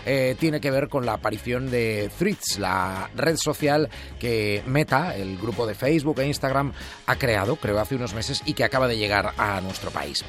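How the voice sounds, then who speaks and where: Informal Spanish radio host over music. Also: male voice, medium pitch, normal speed, a bit bright. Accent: Spain. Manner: informal, addresses listeners directly. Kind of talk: conversational radio presenting.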